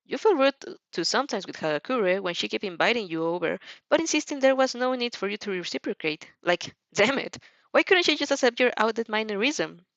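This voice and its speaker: A woman reading fan fiction aloud expressively, in an animated amateur way, stumbling over and repeating words. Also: on the high side, fairly quick, dry recording, muffled recording.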